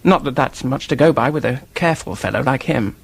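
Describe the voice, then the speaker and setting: An actor playing an earnest, defensive office worker in a radio mystery, husky-voiced, hesitant and blurting, with a temper underneath. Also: male voice, medium pitch, expressive, brisk. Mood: frank, earnest. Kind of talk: radio drama acting, detective mystery.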